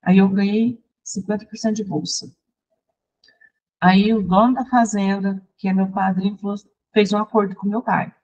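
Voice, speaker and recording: A Brazilian woman, a songwriter and teacher, recounting her life in a chatty, lively interview. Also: medium pitch, normal speed, lo-fi sound. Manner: lively, chatty, restarts and laughs while recounting. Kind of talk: personal storytelling in conversation.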